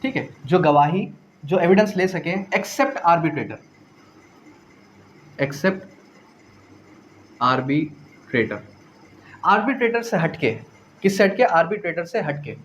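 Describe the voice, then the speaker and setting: Higher-voiced Pakistani man lecturing, noisy recording. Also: animated, takes it slowly, long pauses, a bit bright. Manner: didactic, repeats phrases and asks rhetorical questions; mixes in English legal terms. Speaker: law lecturer. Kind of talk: exam-prep lecture, explaining point by point.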